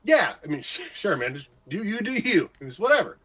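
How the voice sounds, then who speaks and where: A man playing an excitable, jealous, insecure morning radio host in a radio comedy-drama, stammering, gushing and evasive. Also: high voice, fairly quick, husky, heavily acted. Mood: flustered, jealous.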